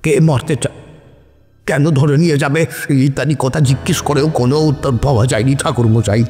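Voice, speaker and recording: A man's voice: mid-range voice, fairly quick, mellow, close to an everyday voice. An actor playing a worried, agitated village man in a horror cartoon, speaking deferentially to a priest. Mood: anxious. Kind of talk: dramatic Bengali horror cartoon voice acting. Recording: long reverb, faint music behind.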